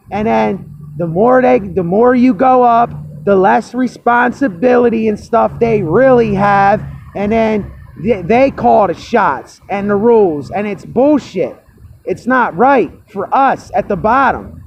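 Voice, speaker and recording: A hoarse man with a cracking voice ranting angrily to camera, repeating himself and swearing. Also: sits high, muffled recording, a little hiss or hum. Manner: fired-up, repetitive, swearing. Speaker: vlogger. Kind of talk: angry personal rant to camera.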